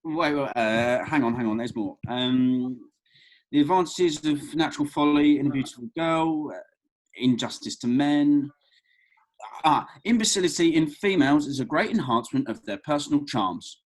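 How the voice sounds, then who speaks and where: A man's voice: on the high side, moderate pace, natural voice, poor audio. A man acting an affable, cheeky, slightly hapless young man in a comedy script read, with hesitant, wry colloquial delivery. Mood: sheepish, teasing.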